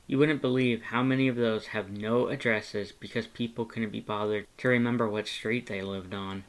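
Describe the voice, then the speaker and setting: Casting: a narrator as a friendly shelter worker, understated, a little sheepish, audiobook dialogue at a middling pitch. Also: steady tone, normal speed, close to an everyday voice, rough recording. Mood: casual, amused. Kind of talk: second-person character dialogue in audiobook.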